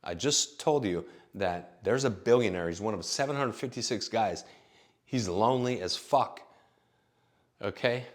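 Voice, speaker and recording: Guy around 40 with a lowish voice, rambling and swearing a lot, lots of um and you know. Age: late 30s. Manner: rambling, profane, lots of fillers. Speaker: vlogger. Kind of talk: casual storytelling monologue.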